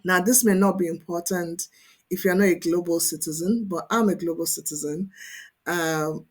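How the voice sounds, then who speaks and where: A woman's voice: lowish voice, steady tone, normal speed, breathy edge, faint music behind. A woman presenting an online tutorial as a coach, explaining conversationally and emphatically, often checking in with the listener. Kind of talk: instructional screen-share tutorial, conversational.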